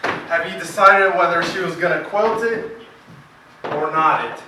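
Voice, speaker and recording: A man playing a brisk, condescending county attorney in a rural period stage play, with a commanding manner. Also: on the high side, lightly acted. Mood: patronizing. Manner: patronizing, commanding. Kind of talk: stage play acting, early 1900s rural American drama. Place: stage performance recorded from audience distance. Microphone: distant camera. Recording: echoey hall from far off, noisy.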